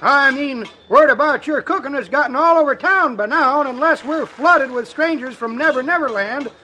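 A man's voice: very high-pitched, pushed and bright, low-quality recording. An actor playing a folksy, teasing old man, in 1950s radio comedy style. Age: older. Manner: folksy, teasing, drawn-out greetings.

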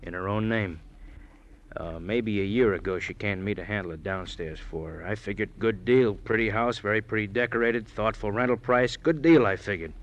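Man acting a low-pitched, slangy rental agent. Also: moderate pace, lo-fi sound, close to an everyday voice. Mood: guarded. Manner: colloquial, folksy tough-guy patter. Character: slangy, evasive male rental agent, slick dealmaker. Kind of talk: old-time radio crime drama acting.

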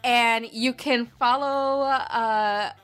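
A woman's voice: fairly high pitch, fairly even intonation, extremely slow, fairly bright tone, soft background music. A woman co-hosting a podcast in casual chat, speaking in a blunt, sardonic way and swearing freely. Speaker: podcast co-host.

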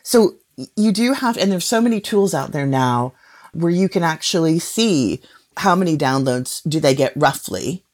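Female expert guest, low register, conversational and explanatory, tags points with quick check-in questions. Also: lively intonation, a little mellow. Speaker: podcast industry guest expert.

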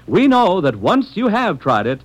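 A male announcer delivers a scripted classic radio commercial. His voice sits a little high and moves animatedly, with a polished, friendly sales manner aimed straight at the listener. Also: wildly swooping pitch, moderate pace, low-quality recording. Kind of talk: old-time radio advertisement, scripted.

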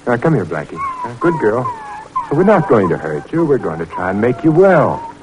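An actor playing an earnest, determined young doctor in an old-time radio drama, sincere and plain-spoken. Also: male voice, medium pitch, wildly swooping pitch, normal speed, rough recording. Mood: hopeful, tense. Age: young adult. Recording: vintage radio recording with hiss.

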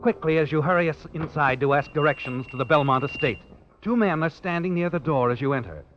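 An actor playing a knowing, taunting narrator in old radio suspense style, insinuating and ominous. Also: male voice, a little high, fairly quick, slight background noise, natural voice. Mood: suspenseful. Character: knowing, taunting male narrator haunting the hero. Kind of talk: 1940s radio suspense narration in second person. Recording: dull vintage radio recording. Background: dramatic music.

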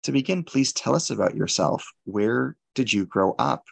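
A man hosting an interview podcast in a formal, deliberate, scripted and very courteous manner. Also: medium pitch, animated, vocal fry, breathy edge, no room sound. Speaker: podcast host.

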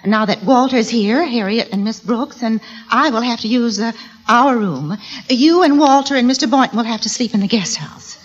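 A woman's voice: a little high, normal speed, husky, lo-fi sound. A woman playing a fluttery, placating middle-aged wife in an old radio comedy. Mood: soothing, flustered. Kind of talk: 1950s radio comedy acting.

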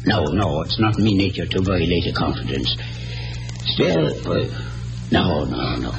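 A wily old Irish country doctor with a very animated, swooping delivery. He blusters and wheedles theatrically in the style of classic radio drama. Music plays clearly in the old broadcast. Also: sits low, measured pace, heavily acted, low-quality recording. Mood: sly, coaxing. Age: older. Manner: wheedling, theatrical, hesitating for effect.

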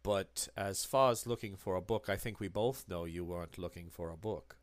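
A man voicing a menacing German occultist who talks softly, low and measured, coldly polite as he probes. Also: dry recording, natural voice. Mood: coldly probing. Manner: soft, low, measured and probing.

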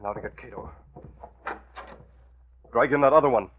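An actor playing a cunning, commanding masked vigilante hero who also passes as a genial gentleman, in 1940s radio drama style. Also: mid-range voice, normal speed, close to an everyday voice. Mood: commanding, urgent. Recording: tinny, noisy old radio broadcast.

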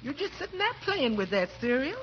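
A woman's voice: high-pitched, sing-song, brisk. A woman playing an easygoing, practical housekeeper and cook in 1940s radio comedy, folksy and deferential. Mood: easygoing, helpful. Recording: dull vintage radio recording, faint noise.

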